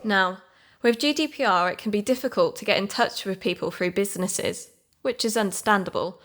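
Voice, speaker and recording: Woman playing British female investigator, audio drama narration, earnest, explanatory, middle pitch. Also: fairly quick, a bit bright, close to an everyday voice.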